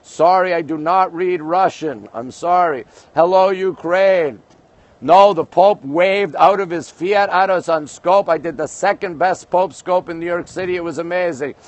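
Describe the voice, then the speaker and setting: A man's voice: sits high, a little slow. Chatty Montreal-born man streaming from a balcony. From Montreal, Canada. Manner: chatty, greets viewers by place, reads comments aloud. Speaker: livestream host. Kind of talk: casual livestream chat greeting viewers. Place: high-rise apartment balcony at night, city below. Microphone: phone camera held by speaker.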